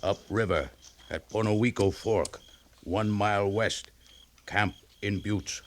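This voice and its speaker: An actor playing a terse army scout who reports in short, halting fragments of broken English in a 1950s radio western. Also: male voice, fairly low pitch, fairly even intonation. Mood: terse, matter-of-fact. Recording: noisy 1950s radio broadcast recording.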